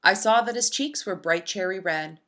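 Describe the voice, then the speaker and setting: A woman narrating a rhyming children's storybook in an expressive, deliberate storytelling manner for young listeners. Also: mid-range voice. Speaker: children's book read-aloud narrator.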